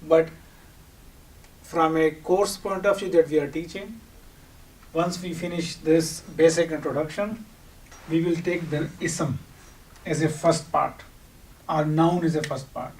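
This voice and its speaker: A man's voice: fairly high pitch, steady tone, measured pace, pauses often, a little mellow. A man with a Pakistani accent and Urdu as his first language, giving an instructional lecture in an explanatory way, posing questions and answering them. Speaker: teacher. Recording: distant microphone, audible background noise.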